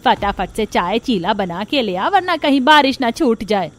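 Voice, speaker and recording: महिला की आवाज़ में कंजूस, रौबदार सास, ऊँचा सुर. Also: female voice, on the high side, big pitch swings, moderate pace. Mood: bossy. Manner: commanding, dismissive. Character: stingy, bossy middle-aged mother-in-law. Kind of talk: Hindi family cartoon dubbing.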